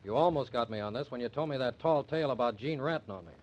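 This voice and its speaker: A man's voice: mid-range voice, lively intonation, fast, lo-fi sound, natural voice. An actor playing an earnest, confident young man in old-time radio drama style, intense and assertive. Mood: urgent, explaining.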